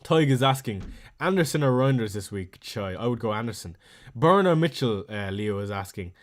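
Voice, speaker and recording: This is a man with a strong Irish accent running a live fantasy football stream. He talks in an easygoing, chatty way, reading out viewer questions and firing back short answers. His voice sits at a middling pitch for a man, recorded close on a desk mic. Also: animated. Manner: chatty, reads viewer messages aloud and answers them quickly. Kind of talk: casual live stream chat.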